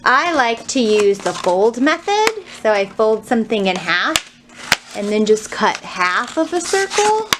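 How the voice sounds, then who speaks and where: A woman's voice: a little high, very animated, a little slow, background noise. A woman presenting a children's storytime, explaining things simply, asking young listeners questions and reading aloud.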